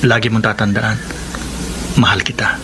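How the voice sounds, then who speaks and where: Wistful Filipino actor as a gentle suitor, noisy ambience. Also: male voice, fairly low pitch, level tone, moderate pace, close to an everyday voice. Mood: wistful, resigned. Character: kind, wistful Filipino man, accepting unrequited suitor. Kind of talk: Tagalog radio romance drama acting.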